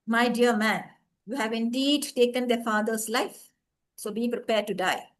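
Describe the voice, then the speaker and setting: A woman doing a stern, measured judge. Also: a little high, fairly even intonation, natural voice. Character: stern unmerciful town judge, a man.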